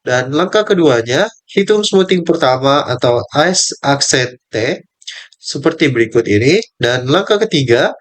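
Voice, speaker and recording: A young male student presenting his part of a group lesson, reading out steps and table values with small slips and restarts. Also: mid-range voice. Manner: reads numbers in steady lists, with small slips and restarts.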